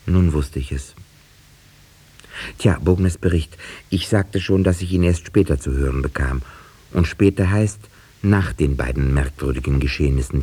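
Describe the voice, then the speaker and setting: An actor playing a sober village narrator, telling the story in a measured, reflective way with a dark, husky voice in a 1950s radio play. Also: male voice, very deep voice, heavily acted. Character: male village narrator, sober, reflective, fond dog owner.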